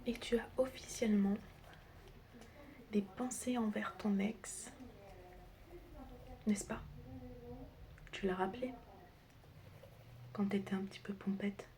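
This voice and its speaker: A woman doing an ASMR roleplay in a breathy whisper mixed with soft speech, teasing and chatty as she addresses the listener like a close friend. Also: mid-range voice, steady tone, slow, long pauses. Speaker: ASMR creator role-playing as a doctor and best friend. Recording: clear background noise with clicking sounds.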